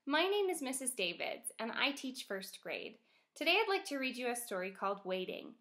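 A young adult woman, a first grade teacher, speaking expressively and clearly to young children as in a picture book read-aloud. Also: on the high side, very animated, moderate pace, fairly bright tone.

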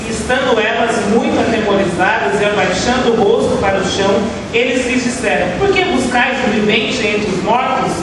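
Young Brazilian woman, worship leader, earnest measured reading; long church reverb, noisy hiss. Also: on the high side. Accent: Brazilian Portuguese. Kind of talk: leading a church service, Bible reading. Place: church hall, indoors. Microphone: stand mic at a pulpit, filmed from a far camera. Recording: echoey hall from far off, background hiss.

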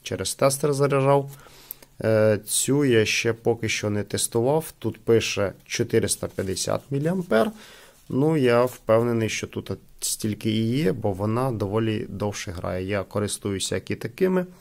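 Man, gravelly creak, casual product review, halting, thinking aloud. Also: on the low side, lively intonation, a little mellow. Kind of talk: casual unboxing commentary.

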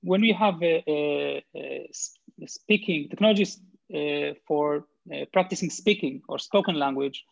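A man's voice: fairly high pitch, creaky, no echo. A man in his forties from Israel with a Hebrew accent, presenting his company on a video call, hesitant with many fillers. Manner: hesitant, frequent fillers and restarts. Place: home room with bookshelves. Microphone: laptop video call. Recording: muffled video call audio.

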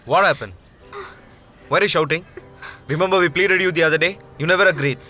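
A man's voice: fairly high pitch, fast, sharp tone, soft background music. A man dubbing a young man who casually taunts and mocks others. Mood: taunting.

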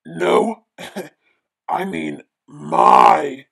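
A woman's voice: low voice, very animated, very slow, gravelly, a bit bright, slight background noise, heavily acted. A woman voicing a blunt, sarcastic mother pig with snippy remarks in an amateur cartoon.

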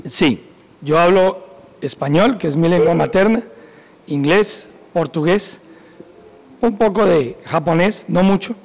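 Calm instructive man in his forties. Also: sits high, measured pace, gravelly, pauses often. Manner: calm, instructive, laughs easily. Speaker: police school director. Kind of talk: educational segment talk. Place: indoor office with bookshelves. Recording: echoey room.

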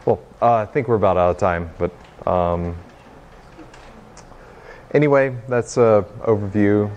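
Low-voiced young man, halting delivery. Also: highs cut off, slight background noise. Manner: hesitant, halting. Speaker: presenter, game developer. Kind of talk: informal tech demo talk. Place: indoor hackerspace meeting room, small stage.

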